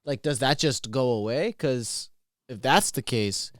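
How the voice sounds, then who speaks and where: A quiet, soft-spoken man asking questions in a casual podcast chat. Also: medium pitch, measured pace, dry recording, clean studio sound.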